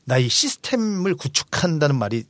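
A man's voice: on the high side, big pitch swings, moderate pace, fairly bright tone, dry recording, studio quality. A man in his forties hosting a book discussion, explaining ideas conversationally and emphatically. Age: 40s. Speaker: host of a book discussion show; restaurant owner.

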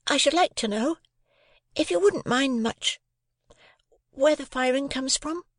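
A man putting on a timid little boy, high wondering voice, endlessly curious, storybook reading, dry close sound. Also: unhurried, fairly bright tone, no room sound. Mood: pleading.

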